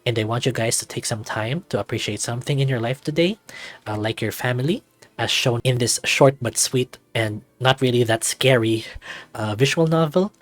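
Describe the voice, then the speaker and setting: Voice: medium pitch, moderate pace. A video host narrating a visual novel aloud expressively and addressing viewers casually.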